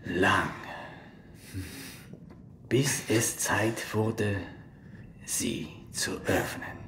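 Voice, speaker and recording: An actor playing a solemn old Shaolin monk and mocking storyteller in a German radio play, often dropping into a whisper. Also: male voice, fairly low pitch, vocal fry, echoey room, noisy background, full character voice. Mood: solemn, brooding. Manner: slow, dramatic, often whispery delivery. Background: music.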